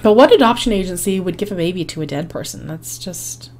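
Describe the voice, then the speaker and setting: A woman hosting a life-sim game video, her voice hoarse and fading, chatting casually with frequent ums and small laughs. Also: fairly low pitch, animated, fairly quick, darkish tone, a little hiss or hum. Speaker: gaming channel host. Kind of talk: casual gameplay commentary.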